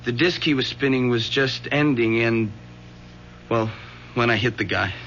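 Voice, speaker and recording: An actor playing an anxious, earnest teenage son who speaks hesitantly, in a vintage radio drama. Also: male voice, medium pitch, a little slow, a bit bright, lightly acted. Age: teens. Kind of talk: 1970s radio drama acting. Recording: dull vintage radio recording with faint hum.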